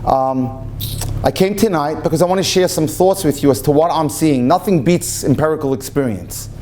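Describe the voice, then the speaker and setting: A middle-aged man, a rabbi, giving a live talk to an audience on a handheld mic and telling jokes with lively punchlines. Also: on the high side, normal speed, slight background noise. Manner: animated storyteller, voices for punchlines. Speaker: rabbi and dating speaker. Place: event hall with audience.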